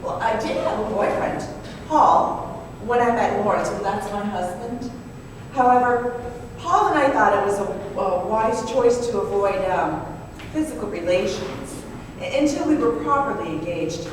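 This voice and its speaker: A woman playing a troubled, sheltered, rich young wife in an old-time radio drama, prim and halting with melodramatic touches. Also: medium pitch, animated, natural voice. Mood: demure, confiding. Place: live stage performance in an echoey room. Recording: echoey hall, distant mic, clear noise.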